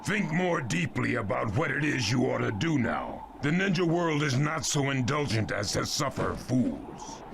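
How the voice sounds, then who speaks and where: A man's voice: medium pitch, sing-song, moderate pace, gravelly, hardly any pauses, background noise. A man voicing a stern, scornful, powerful ninja village leader in a game cutscene, speaking harshly and commandingly. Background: game music.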